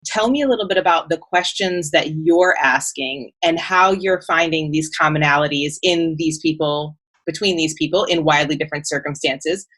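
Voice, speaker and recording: A woman's voice: deep voice, fairly bright tone. A woman hosting a podcast who introduces her guest and asks long, loosely built, friendly questions. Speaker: podcast host. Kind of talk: interview host introducing a guest and asking questions.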